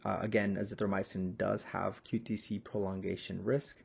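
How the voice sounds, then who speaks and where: A young man, a pharmacy student, narrating a slide lecture in a measured, explanatory manner. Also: low voice, little pitch movement, gravelly, husky, muffled recording.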